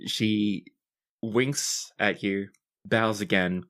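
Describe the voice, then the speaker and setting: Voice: sits low, fairly even intonation, extremely slow, a bit bright. A game master narrating scenes and characters' actions in a tabletop role-playing game.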